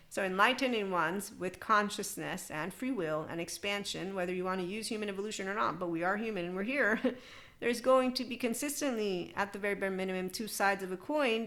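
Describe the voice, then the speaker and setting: A woman's voice: medium pitch, sharp tone. A woman hosting a podcast, talking in a loose, digressive self-help monologue with frequent laughs. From United States. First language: English. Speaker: podcast host.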